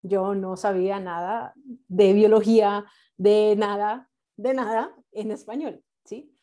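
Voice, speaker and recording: A young Colombian woman whose first language is English leads an online class. Her voice is soft-edged and relaxed at a medium pitch. She explains informally and encouragingly, pausing to search for words and check that students follow. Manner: encouraging, halting with frequent check-in questions. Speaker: medical English teacher and nurse. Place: indoors, on a video call. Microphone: video call microphone.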